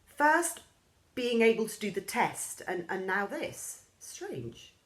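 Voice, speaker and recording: A woman reading a children's story aloud in an expressive storybook style, acting out the characters' lines. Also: slow pace. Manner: lively, acts out characters' lines with raised pitch.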